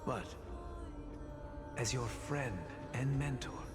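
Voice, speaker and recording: A solemn sage and mentor, acted by a man, speaking slowly and formally in a long reverb. His kindly, paternal game-character delivery is measured, with music clearly behind it. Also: mid-range voice, natural voice. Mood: solemn, encouraging. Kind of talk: dramatic video game voice acting.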